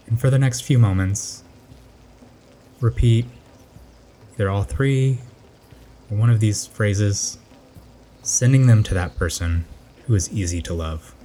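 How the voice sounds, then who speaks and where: Low, mellow male meditation guide. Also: a little slow, pauses often, slight background noise.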